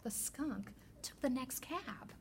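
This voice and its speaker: A woman reading a children's picture book aloud as a teacher librarian, with deliberate, expressive storytelling. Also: high-pitched, slight background noise.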